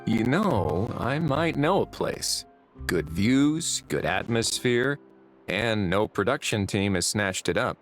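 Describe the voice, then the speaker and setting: An actor playing a calm, teasing prison warden with a dry manner. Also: male voice, fairly low pitch, takes it slowly. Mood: wry. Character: calm prison warden man, wry and composed. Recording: game audio with music behind.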